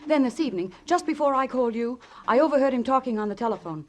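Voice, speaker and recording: Worried glamorous wife, fairly high voice, radio melodrama, faint noise on old recording. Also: female voice, animated, fast. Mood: troubled. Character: anxious, glamorous young wife, highly strung. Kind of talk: 1940s radio melodrama acting.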